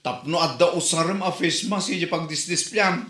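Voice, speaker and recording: A man's voice: a little high, normal speed, fairly bright tone, lightly acted. A man with a Filipino accent playing a theatrical, mocking, scolding neighbourhood busybody in a comedy drama. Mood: lecturing. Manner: theatrical, exclamatory, teasing. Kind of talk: Ilocano comedy drama acting. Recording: roomy, echoing voice recording.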